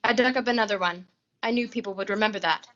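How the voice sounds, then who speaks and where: A woman's voice: mid-range voice, fast talker, a bit bright, highs cut off, lightly acted. A young woman acting a brazen, blunt, scheming woman in a 1940s-style radio suspense play. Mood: cold confession. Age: young adult. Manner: blunt, clipped. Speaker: high school theatre student actor.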